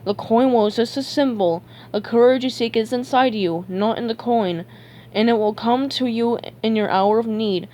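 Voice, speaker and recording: A woman's voice: on the high side, normal speed, dark tone, close to an everyday voice. Casting: woman to play a weary cartoon spirit guide, male, exasperated but patient, on a slightly noisy, humming recording. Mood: strained patience. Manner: blunt, strained patience.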